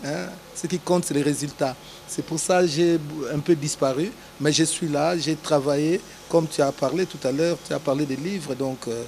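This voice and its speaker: A man from Congo, a pastor answering interview questions in a measured, preacherly way, with filler pauses. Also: a little high, darkish tone, slight background noise. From Democratic Republic of the Congo.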